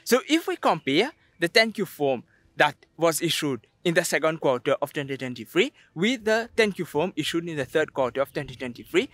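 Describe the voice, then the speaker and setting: A man's voice: a little high, a bit bright, dry recording. A young man presenting an investing explainer to camera, speaking emphatically. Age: young adult. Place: outdoors on a sandy beach.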